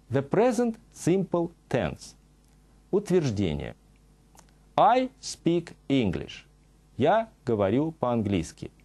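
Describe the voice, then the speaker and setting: A middle-aged man teaching on a TV studio set, reading a scripted lesson and saying example sentences slowly and carefully. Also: medium pitch, warm tone. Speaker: English teacher.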